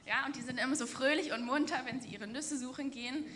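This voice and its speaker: A woman's voice: high-pitched, fast talker, a bit bright, slight background noise. A young adult woman preaching in an earnest, explanatory way and illustrating her points with everyday examples. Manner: earnest, explanatory, acts out quoted speech. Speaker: preacher in a church. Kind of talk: sermon with personal anecdote.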